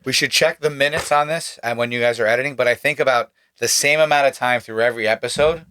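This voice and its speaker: A man's voice: mid-range voice, quick pace, pushed and bright, clear, resonant tone, no room sound. A man on a podcast panel, chatting casually with friends about films in an everyday voice. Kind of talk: casual film discussion with friends.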